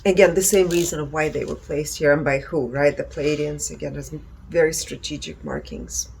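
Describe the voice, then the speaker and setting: A woman speaks in a fairly low voice with a marked creak. She presents readings and reflections earnestly, in short emphatic phrases, over faint background ambience. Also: fairly even intonation, moderate pace, a little mellow, a little hiss or hum, low-quality recording. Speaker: presenter reporting energy readings. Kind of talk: presentation reading out findings with personal commentary.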